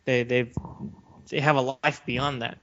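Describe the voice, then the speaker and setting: A man's voice: mid-range voice, steady tone, measured pace, fairly bright tone. A man co-hosting a podcast, discussing his opinions casually in an assertive, emphatic manner. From Western country. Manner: assertive, emphatic, steers the talk. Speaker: podcast co-host. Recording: dull lo-fi audio.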